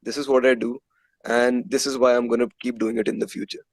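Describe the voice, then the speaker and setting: A man's voice: mid-range voice, little pitch movement, a little fast, no room sound. Indian-accented man, emphatic, closely recorded. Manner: emphatic, repeats phrases for stress. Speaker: metal band guitarist and composer, interview guest. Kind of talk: candid interview chat.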